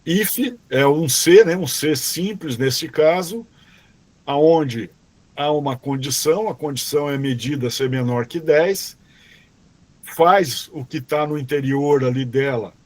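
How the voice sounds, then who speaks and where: Man, slow patient teacher, slightly high voice, dry close sound, frequent check-ins. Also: no room sound. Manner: restarts and self-corrections while reading code aloud. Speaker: robotics teacher. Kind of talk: live online class.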